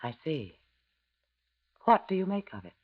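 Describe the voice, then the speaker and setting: Ruthless female partner in crime, American, commanding, animated delivery, 1950s radio sound. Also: sits low, a little slow, exaggerated voice. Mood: icy, guarded. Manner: curt, commanding, composed. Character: cold, domineering, scheming woman partner in crime. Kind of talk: 1950s radio drama acting. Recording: dull, lo-fi 1950s radio recording.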